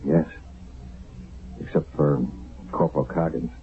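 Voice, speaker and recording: A troubled wartime American sergeant, speaks low and wearily in the manner of seventies radio drama. The sound is muffled and narrow, like an old broadcast. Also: male voice, steady tone, measured pace, faint music behind. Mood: tense, reporting. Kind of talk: 1970s radio drama acting.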